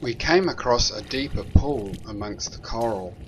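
A man narrating a sailing trip in a calm, measured, matter-of-fact voice-over. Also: medium pitch, background noise. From New Zealand. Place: recorded separately from the pictured scenes. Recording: voice-over with loud background music.

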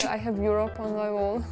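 A woman's voice: mid-range voice, fairly even intonation, a little slow, over music. A young woman from Lithuania talking hesitantly about her film and her home, searching for the words. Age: young adult. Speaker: filmmaker. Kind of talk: casual interview. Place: small decorated bedroom.

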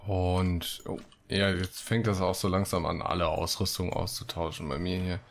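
Ein Mann kommentiert locker und scherzhaft sein Spiel, murmelt vor sich hin und liest Quests vor. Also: male voice, sits low, level tone, moderate pace, creaky, slight background noise. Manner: joking, muttering to himself. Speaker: Let's Play host. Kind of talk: casual gaming commentary with read-out quests. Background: game music.

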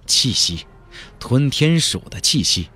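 Man playing an earnest, husky young cultivator. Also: mid-range voice, big pitch swings, takes it slowly, pushed and bright, faint music behind, exaggerated voice. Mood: grave. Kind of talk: audiobook character voice.

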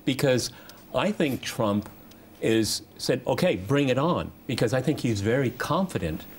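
Male moderator, 50s, mid-pitched, halting emphatic repetitions, political panel, some background noise. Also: animated. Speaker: talk show host and moderator. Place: television studio round table.

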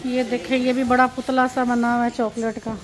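High-voiced woman touring a shop. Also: level tone, normal speed, faint music behind. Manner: pointing things out, repeating "look at this". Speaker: vlogger. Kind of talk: casual walking tour narration. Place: chocolate shop and busy shopping street.

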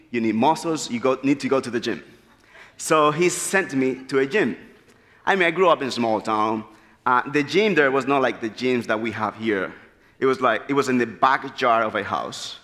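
A man in his thirties from the Republic, preaching an earnest, animated sermon at a church pulpit. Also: mid-range voice. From Dominican Republic. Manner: animated, gesturing freely, earnest storytelling. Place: large church sanctuary, at a pulpit. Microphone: pulpit mic. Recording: long church hall reverb.

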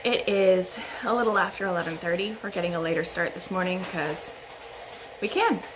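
A woman in her thirties chatting casually to the camera in her home dining room, relaxed and talky with little fillers. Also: on the low side, normal speed, background noise. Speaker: vlogger.

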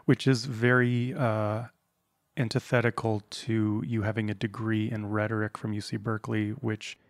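A man co-hosting a podcast in casual chat, blunt and quick to swear. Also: fairly low pitch, level tone, no echo, studio quality. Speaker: podcast co-host.

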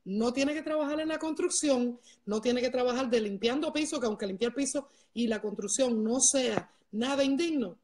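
A woman's voice: high voice, moderate pace, few pauses, darkish tone. A middle-aged woman with a Cuban accent chatting casually on a livestream in an emphatic, repetitive way, addressing viewers directly. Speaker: livestream host. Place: large living room at home. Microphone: wired earphone mic.

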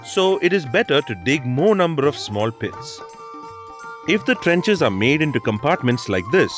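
A man reading scripted instructional narration for a documentary. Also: medium pitch. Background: background music.

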